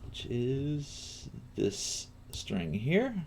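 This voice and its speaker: A man giving a casual live coding tutorial as a teacher, thinking aloud in a halting way while he works. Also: mid-range voice, huge pitch swings, vocal fry, darkish tone, slight background noise.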